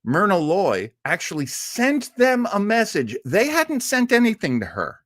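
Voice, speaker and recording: Male host, casual chatter, animated pitch, dry close mic. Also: a little slow, no room sound. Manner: chatty and enthusiastic, with frequent fillers and restarts. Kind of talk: casual livestream chat.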